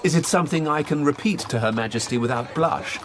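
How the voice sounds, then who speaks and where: An actor playing an insecure, sardonic lord, halting and probing, in a period radio drama. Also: male voice, on the high side, lightly acted. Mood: uneasy. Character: insecure, mocked, sardonic English lord at court. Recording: noisy street ambience with horse hooves.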